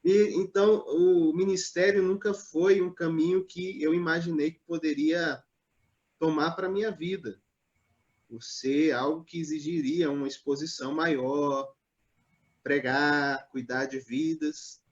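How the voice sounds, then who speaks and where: A man from Northeast Brazil, a pastor, telling his life story in a live online interview in a measured, hesitant way, with many pauses and fillers. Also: a little high, fairly even intonation, lo-fi sound. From Natal, Northeast Brazil.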